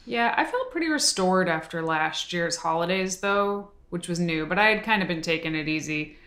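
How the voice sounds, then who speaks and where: A woman co-hosts a podcast in an easygoing, chatty way. Her voice sits slightly low, and she drifts into quick asides and laughs easily. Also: expressive, a bit bright. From Boston area. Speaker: podcast co-host. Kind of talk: casual podcast chat.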